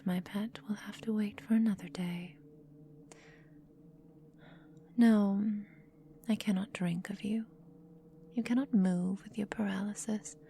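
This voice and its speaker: Whispering ancient vampire mistress, acted by a woman, slow and menacing. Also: medium pitch, warm tone, a little hiss or hum. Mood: cold disdain. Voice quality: breathy whisper. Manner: slow, deliberate, condescending, formal diction. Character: centuries-old female vampire mistress, possessive, menacing, coolly doting. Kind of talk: whispered ASMR roleplay.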